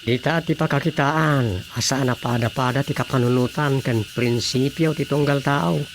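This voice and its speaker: A man playing a chatty, opinionated husband in a radio drama comedy, with an Ilocano accent and a gravelly voice. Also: mid-range voice, moderate pace, background noise, lightly acted.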